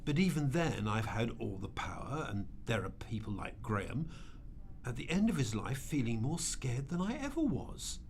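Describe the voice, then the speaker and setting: Man playing an impassioned, guilt-ridden rich man, swinging pitch. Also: sing-song, normal speed, slight background noise. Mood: anguished, defiant. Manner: earnest, emphatic, pleading. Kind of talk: radio drama acting.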